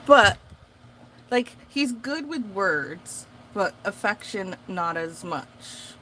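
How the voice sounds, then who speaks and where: A woman's voice: medium pitch, expressive, very slow, bright, forceful tone, a little hiss or hum, rough recording. A young woman whose first language is Portuguese, talking casually and rambling with lots of fillers while she crafts. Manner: chatty, rambling with many fillers. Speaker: craft vlogger. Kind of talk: casual chat.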